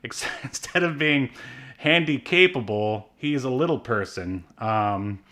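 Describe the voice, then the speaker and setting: A man's voice: medium pitch, animated, unhurried, bright, forceful tone. A man co-hosting a podcast, chatting casually and rambling with fillers and small laughs. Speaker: podcast co-host. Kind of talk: casual chat.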